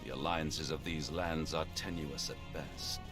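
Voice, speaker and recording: An actor playing a composed, scheming military lord in a fantasy video game, formal and measured. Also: male voice, extremely low pitch, fairly even intonation. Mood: calculating. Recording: loud game music behind.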